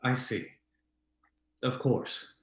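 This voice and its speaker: A man playing a tormented, guilt-ridden widower in love, melodramatic and brooding, in an old-time radio drama style, with a roomy echo. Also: very slow. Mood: resigned. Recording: echoey, roomy sound.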